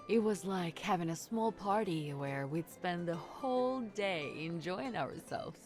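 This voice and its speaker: A woman's voice: on the low side, a little mellow. An actress playing a composed, kindly woman of the sea folk, a stage performer, in a story game. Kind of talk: game voice acting.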